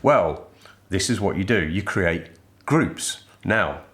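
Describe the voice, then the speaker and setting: A man's voice: low voice, expressive, takes it slowly, fairly bright tone. British man presenting a chatty product review. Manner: chatty, irreverent, with mild swearing and slang. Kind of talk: informal product review to camera. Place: indoor studio set styled as a workshop.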